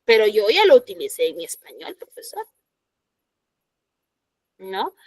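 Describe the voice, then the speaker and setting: A woman's voice: medium pitch, very animated, measured pace, dry recording, studio quality. A woman teacher with a Peruvian Spanish accent gives a classroom lecture. She explains patiently and keeps checking in with her students through short tag questions.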